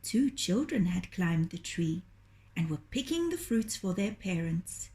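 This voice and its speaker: A woman reading a children's story aloud in a gentle, deliberate, expressive storytelling manner. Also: fairly low pitch. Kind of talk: children's storytelling, scripted narration.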